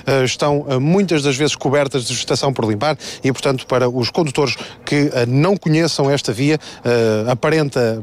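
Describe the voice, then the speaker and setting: A male reporter with a European Portuguese accent talks quickly, filling gaps with hesitant "eh" sounds. He reports live from the road. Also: mid-range voice. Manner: fast, with frequent filler hesitations. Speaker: field reporter. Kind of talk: live on-scene news report. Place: outdoors at a roadside accident scene.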